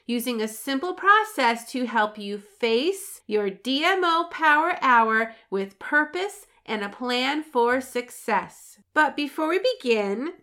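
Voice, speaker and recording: A woman's voice: sits high, a bit bright. A woman hosting a solo podcast, reading scripted material in a peppy, encouraging, promotional manner. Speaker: podcast host.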